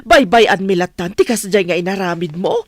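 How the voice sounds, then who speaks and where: A woman's voice: medium pitch, lively intonation, normal speed, no echo, clean studio sound, close to an everyday voice. An actress playing a stern, protective middle-aged mother in an Ilocano radio drama, reproachful, with an Ilocano Filipino accent.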